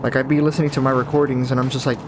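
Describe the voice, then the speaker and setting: A man with a deeper, just-woke-up morning voice, chatting casually and rambling over his gameplay as a gaming video host. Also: little pitch movement, rapid-fire, noisy background. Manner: chatty, rambling, self-correcting. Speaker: gaming YouTuber. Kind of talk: casual let's-play commentary. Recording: voice over loud game audio.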